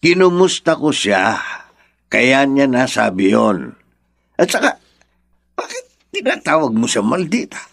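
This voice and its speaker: An actor playing a kindly, concerned old grandfather in a Filipino radio drama, exclaiming and gently reproving. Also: mid-range voice, huge pitch swings, unhurried, gravelly, long pauses, full character voice. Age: older.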